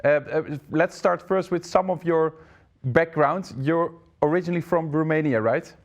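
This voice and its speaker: A man's voice: a little high, normal speed, lo-fi sound. Hesitant male interview host. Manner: hesitant, repeats words. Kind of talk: online interview.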